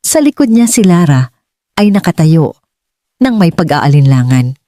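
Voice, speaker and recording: A woman's voice: low voice, sing-song, measured pace, dark tone, dry recording, clean studio sound. A woman reading a scripted dramatic story as an audiobook narrator.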